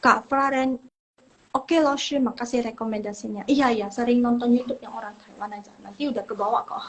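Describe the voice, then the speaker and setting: A woman's voice: fairly high pitch, fairly even intonation, normal speed, lo-fi sound. Chatty, reassuring young woman teacher. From Indonesia. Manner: chatty, reassuring, mixes languages, trails off and repeats herself. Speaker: Mandarin teacher. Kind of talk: casual live online lesson.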